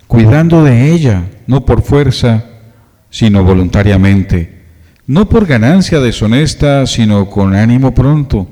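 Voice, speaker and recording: A man reading a Bible passage aloud to a church in a solemn, measured manner. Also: mid-range voice, mellow. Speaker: congregant reading scripture. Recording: hall reverb.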